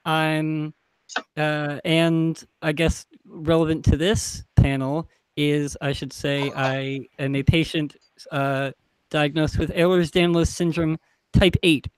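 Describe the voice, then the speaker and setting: Male panelist, fairly high smooth voice, casual and informal. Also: little pitch movement, slow, no room sound, poor audio. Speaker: podcast maker and panelist. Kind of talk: casual self-introduction. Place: remote video call.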